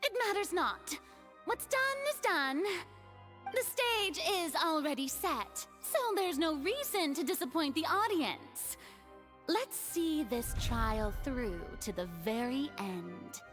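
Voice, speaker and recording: High-pitched, theatrical haughty water goddess. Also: female voice, expressive, slow, fairly bright tone, faint music behind, heavily acted. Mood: defiant, resigned. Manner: theatrical, pompous, grandly articulated. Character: theatrical haughty female god of water, young-looking, proud. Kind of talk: video game voice acting.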